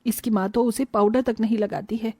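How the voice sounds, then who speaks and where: A woman playing a stern, worried mother-in-law in an animated family story, speaking in an emphatic, scolding way. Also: a little high, brisk, husky. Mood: worried, complaining. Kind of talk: animated Hindi moral story dialogue.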